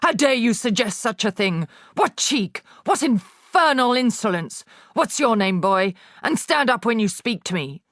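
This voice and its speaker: A woman puts on a towering, bullying headmistress from a storybook, harsh and scornful. She barks and booms every line with loud, raised force and sneering contempt, all in a close, dry recording. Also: expressive, unhurried, hardly any pauses, no echo. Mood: bellowing. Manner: barking, booming, contemptuous.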